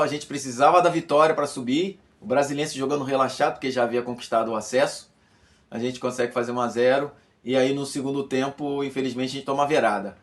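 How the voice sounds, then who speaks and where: Brazilian ex-footballer in his fifties, reminiscing. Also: mid-range voice. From Brazil, Bahia. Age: 50s. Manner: reflective, many fillers and repeated words. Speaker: former footballer giving a testimony. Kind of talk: recorded personal testimony.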